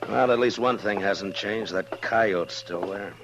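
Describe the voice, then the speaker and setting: Casting: deep, deliberate, weary frontier lawman, grave and sparing with words, old radio drama. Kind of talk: 1950s radio Western acting. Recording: noisy old radio recording.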